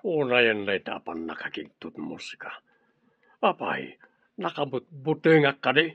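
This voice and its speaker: A man's voice: medium pitch, very animated, measured pace, vocal fry, no echo, full character voice. A man playing a hot-tempered, threatening crime boss in an Ilocano-accented radio drama, barking out commands. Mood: menacing. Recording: dull, lo-fi radio drama audio.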